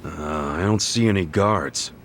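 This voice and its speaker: A man's voice: low voice, unhurried, slight background noise. A man acting as a brash, scrappy, loyal yakuza brawler in a dubbed crime game cutscene. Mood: sardonic, defiant. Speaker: protagonist of a crime drama game.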